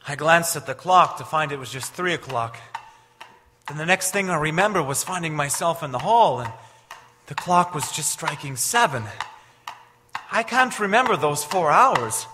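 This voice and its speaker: An actor playing a desperate young scientist turning into an ape-man, melodramatic and urgent in old-time radio style. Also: fairly high pitch. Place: theater stage. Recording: echoey theater stage, noisy.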